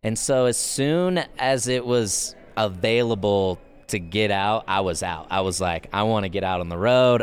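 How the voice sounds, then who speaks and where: A man's voice: on the low side, clean tone. A man telling stories in casual conversation as a podcast guest, with laid-back, slangy phrasing. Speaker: podcast guest, professional skateboarder. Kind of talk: casual chat, storytelling.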